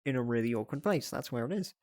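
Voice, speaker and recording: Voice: medium pitch, lively intonation, quick pace, darkish tone, no room sound, clean studio sound. A livestreamer chatting casually to viewers in a giggly way, often thinking aloud. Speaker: livestreamer hosting a reaction stream.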